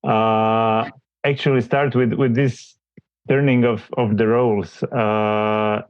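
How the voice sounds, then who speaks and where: A man's voice: fairly low pitch, level tone, slow pace, clear, resonant tone, dry recording. A man interviewing his mentor, speaking hesitantly and repeating words as he searches for his phrasing. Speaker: guest interviewer, mentee. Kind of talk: casual interview. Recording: dry, slightly dull recording.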